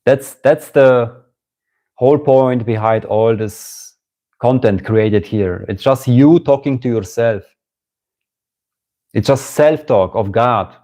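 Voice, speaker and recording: A man with a German accent giving a live-streamed spiritual talk, musing slowly, repeating phrases and greeting viewers as they join. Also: medium pitch, warm tone. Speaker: spiritual teacher.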